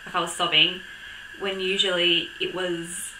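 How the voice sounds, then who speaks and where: A young woman with a croaky voice from a cold, chatting casually to camera with lots of ums and little laughs. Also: on the low side, little pitch movement, measured pace, pushed and bright, roomy sound, slight background noise, rough recording. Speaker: vlogger. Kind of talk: casual chat.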